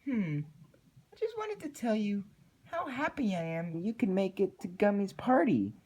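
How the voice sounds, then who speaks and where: High, deranged cartoon pony. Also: female voice, big pitch swings, measured pace, warm tone, slightly rough. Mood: scattered false cheer. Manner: disturbing, manic, scattered speech. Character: deranged, eerily cheerful female cartoon pony, grieving underneath.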